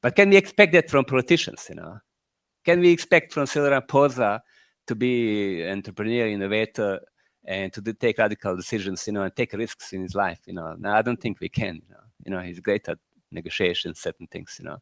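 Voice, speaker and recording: A man's voice: fairly low pitch, fairly quick, creaky, dry recording. Croatian-accented man, rambling and questioning. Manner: rambling, full of rhetorical questions and "you know". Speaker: entrepreneur closing a panel event. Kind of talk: closing remarks at a panel discussion.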